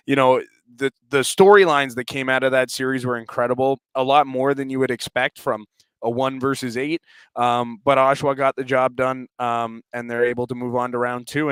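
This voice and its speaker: A man's voice: medium pitch, a bit bright, smooth, dry recording. A man who works as a sports announcer, giving talkative, detailed analysis as an interview guest. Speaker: hockey play-by-play announcer, show guest.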